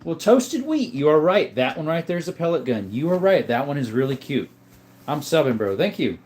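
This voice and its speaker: A man hosting a casual livestream from a room full of guns, reading viewer comments aloud in a relaxed, joking way. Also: fairly high pitch, brisk. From Arizona. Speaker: livestream host reading chat. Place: indoors, room with guns on display.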